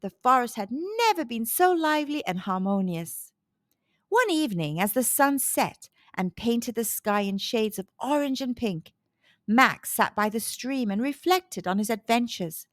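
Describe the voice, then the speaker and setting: A woman narrating a scripted children's storybook in an expressive, measured storytelling manner. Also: a little high, dry recording, studio quality.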